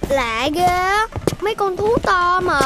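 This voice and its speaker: Young puppy hero for kids' animation, played by a woman: very high, childlike, earnest, caring; loud score underneath. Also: lively intonation, slow pace, heavily acted. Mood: curious, puzzled. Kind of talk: children's cartoon acting. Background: music.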